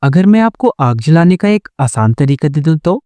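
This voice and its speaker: A man playing a worried, poor village father, earnest and explanatory, in an animated moral story. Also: a little high, normal speed, no echo. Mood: persuading.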